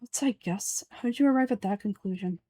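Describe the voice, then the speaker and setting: A woman voicing a calm, aloof young male scholar with a deadpan, measured delivery in a fantasy game. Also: medium pitch, no room sound, close to an everyday voice. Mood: condescending.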